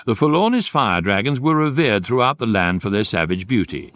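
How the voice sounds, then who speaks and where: Wry, lowish guidebook narrator. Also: male voice, dry recording. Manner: measured, wry, deadpan storytelling. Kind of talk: scripted narration in radio comedy.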